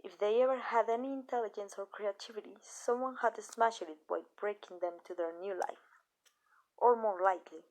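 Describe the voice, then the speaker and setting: Measured, soft-spoken vampire mistress, acted by a woman. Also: medium pitch, lightly acted, rough recording. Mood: wistful, measured. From Mexico. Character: calm, possessive female vampire owner addressing her human. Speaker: amateur voice actress. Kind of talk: ASMR roleplay monologue.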